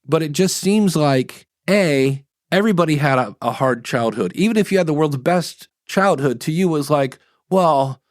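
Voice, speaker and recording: A man's voice: fairly high pitch, animated, moderate pace, few pauses, no echo, clean studio sound. A man hosting a podcast, giving his opinions in a casual, rambling way. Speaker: podcast host.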